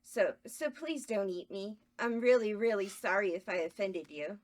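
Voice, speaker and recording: A woman's voice: mid-range voice, moderate pace, lightly acted. Woman reading a nervous gushing fangirl, eager, pleading, mid voice. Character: gushing, nervous young female superfan. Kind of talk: table read acting.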